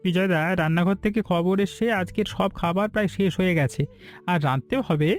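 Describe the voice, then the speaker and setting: A man's voice: sits high, a little fast, faint music behind, natural voice. A man playing a loyal, eager hotel assistant in a cartoon, deferential and earnest. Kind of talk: Bengali moral-story cartoon dialogue.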